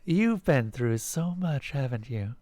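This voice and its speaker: Man playing a high, sweet, regal little girl goddess. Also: sing-song, a bit bright, dry recording, studio quality, heavily acted. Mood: tender, promising. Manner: sweet, grandly proclaiming, drawn-out.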